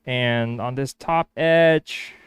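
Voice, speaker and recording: Casting: male woodworker with Spanish as his first language. Smooth, mid-pitched voice, close dry sound, rambling workshop talk. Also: drawn-out words, no room sound. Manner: thinking aloud, hesitant restarts. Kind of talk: casual workshop vlog narration.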